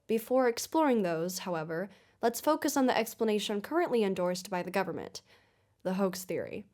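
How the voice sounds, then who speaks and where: A composed investigative podcast host played by a woman, speaking at a middle pitch. She is formal and measured, narrating and questioning as in a scripted audio documentary. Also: animated, a little mellow, natural voice. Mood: measured, serious.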